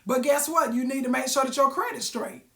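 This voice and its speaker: Woman host, raspy, preachy, and folksy. She asks the audience questions. Phone mic, roomy sound. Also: high-pitched, a little fast, sharp tone. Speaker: live-stream host. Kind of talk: motivational casual talk. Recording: roomy echo.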